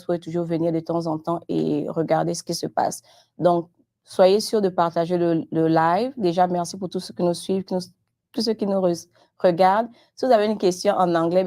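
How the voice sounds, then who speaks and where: A woman whose first language is French hosts a live-streamed chat, her voice low and gravelly. Her tone is dark and mellow. She talks unhurriedly, in halting phrases. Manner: halting, unhurried, switches between English and French. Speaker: host of a live show. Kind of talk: casual live-stream interview hosting.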